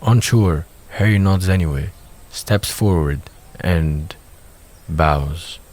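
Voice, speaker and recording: A low-pitched man reads scripted narration for an audio drama. His delivery is measured and descriptive, setting out each scene in the present tense. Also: a little mellow.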